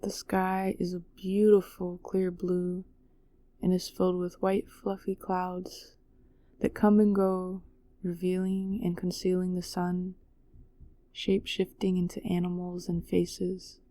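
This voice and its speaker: A woman narrating a guided meditation in a soothing, measured way with long pauses. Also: on the low side, completely flat delivery, warm tone, no room sound.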